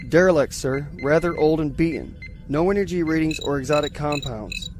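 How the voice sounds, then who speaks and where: A man's voice: fairly high pitch, moderate pace, over music, natural voice. A man acting as an eager, scholarly starship science lieutenant in a science fiction audio drama, relishing his explanations. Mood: reporting, focused.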